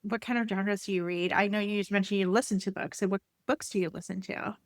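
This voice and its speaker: A woman's voice: mid-range voice, steady tone, fast talker, dry recording, studio quality. A woman hosting a casual podcast in an upbeat, enthusiastic way. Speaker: podcast host.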